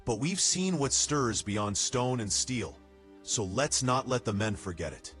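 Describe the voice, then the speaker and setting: A man playing a cautious, wry, level-headed soldier in a fantasy audio drama, speaking in a measured manner. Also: medium pitch, lively intonation, a bit bright, soft background music. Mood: conspiratorial.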